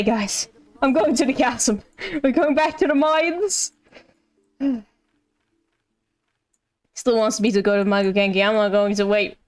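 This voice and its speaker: Female game streamer, high voice, casual rambling commentary with playful repetition, light game music behind. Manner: rambling, repeats phrases playfully.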